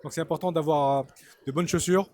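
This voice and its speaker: A man's voice: fairly high pitch, steady tone, darkish tone. A man, a fruit importer, answering interview questions at a trade fair, hesitant and full of fillers. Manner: hesitant, many fillers, measured. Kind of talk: casual spoken interview. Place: busy trade fair hall.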